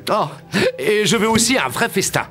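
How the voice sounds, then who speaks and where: A man voicing a cheeky, boastful soldier in an animated fairy tale, with a theatrical, exclamatory and wry delivery. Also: sits high, moderate pace. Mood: smug, carefree. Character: cheeky, boastful soldier, comically excitable adventurer. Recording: loud music under the voice.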